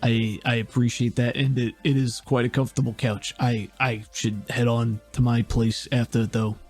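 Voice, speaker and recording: A man's voice: medium pitch, level tone, normal speed, a little mellow, slightly rough, faint music behind, lightly acted. A man voicing a tired, awkward young man who stammers bashfully and talks in a folksy way. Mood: flustered.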